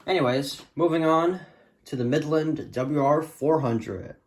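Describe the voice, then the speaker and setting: A man's voice: fairly high pitch, a little slow. A man giving a casual, unscripted show-and-tell as a hobbyist, speaking hesitantly and often trailing off. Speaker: hobbyist collector presenting a radio collection.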